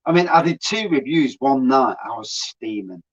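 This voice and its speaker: A man's voice: medium pitch, animated, normal speed, slightly rough, muffled recording. A man, a beer reviewer, chatting casually as a guest on a stream, swearing freely and laughing as he tells stories.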